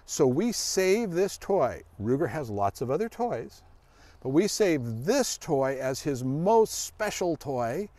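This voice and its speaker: Middle-aged man, lively swooping pitch, folksy. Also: slow pace, no room sound. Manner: folksy, explanatory, asks the listener questions. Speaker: search dog handler and guest presenter. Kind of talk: casual educational demonstration for children. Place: outdoors in a grassy forest clearing.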